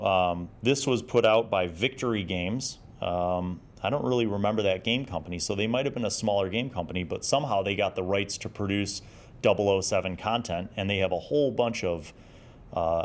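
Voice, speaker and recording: A man casually talking through an old gaming magazine as host, with an enthusiastic, rambling manner. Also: lowish voice. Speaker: host of a gaming retrospective show. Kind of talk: casual magazine review with read-aloud passages.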